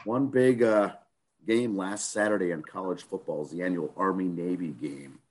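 Guy w a chest cold, raspy and hoarse, really low voice, easygoing podcast host. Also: normal speed, a little mellow. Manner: easygoing, poses trivia questions. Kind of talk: casual podcast banter.

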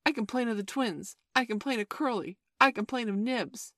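A woman's voice: on the high side, normal speed, a bit bright, no echo, studio quality, pitched above the performer's own voice. A woman voicing indignant little boys who tattle insistently and whine their complaints in a children's storybook. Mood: tattling.

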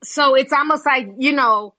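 An American woman hosting a casual chat, talking in an animated, rhetorical way with lots of "you know" and "like". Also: high-pitched, moderate pace, dry recording. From United States.

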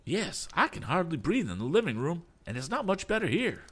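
A fussy, excitable older gentleman from an adventure storybook, acted out by a male reader. His voice is pushed high and swoops about as he frets and exclaims. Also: fairly quick, breathy edge, full character voice. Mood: uneasy. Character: fretful, excitable older man aboard a submarine.

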